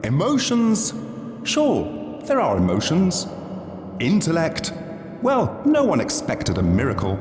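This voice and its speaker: Condescending theatrical master of ceremonies, wildly swooping pitch, slow drawl, mock courtesy, game dialogue in a cavernous hall. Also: male voice, huge pitch swings, darkish tone, faint music behind, full character voice. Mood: disdainful. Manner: theatrical, drawling, swooping delivery with dramatic pauses. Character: theatrical male stage director, mockingly polite, pitying, condescending. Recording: long echoing hall reverb, faint noise.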